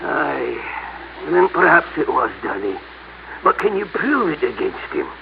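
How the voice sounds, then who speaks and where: An actor playing a fussy, flustered Scottish shop owner in a halting, excitable radio-drama style. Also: male voice, fairly high pitch, creaky, heavily acted. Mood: anxious, obliging. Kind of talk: old-time radio drama acting. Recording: tinny, noisy old radio recording.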